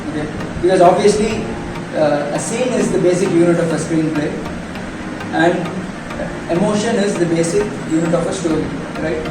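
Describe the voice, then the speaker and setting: A man's voice: on the high side, normal speed. A man teaching actors in a workshop as their coach, often checking understanding with short tag questions. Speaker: acting coach. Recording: very echoey, distant mic, noisy room.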